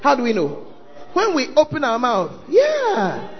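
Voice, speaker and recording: High-pitched Ghanaian pastor preaching, animated. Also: male voice, a little slow, big-room sound, lo-fi sound. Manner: calls out to the audience, prods them to respond. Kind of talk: lively sermon to a congregation. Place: large church hall.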